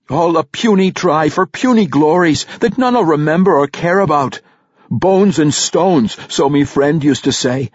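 Man as angry young dwarf, defiant, explosive, husky, raised pitch, fantasy audiobook. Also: no room sound, highs cut off. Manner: defiant, explosive, rough rustic speech. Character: bitter, angry young dwarf son with old warrior's soul.

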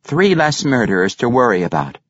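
A man voicing a cold, cocky male halfling assassin who threatens calmly. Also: mid-range voice, fairly quick, dry recording, lo-fi sound, pitched above the performer's own voice. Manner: calm, icy threats.

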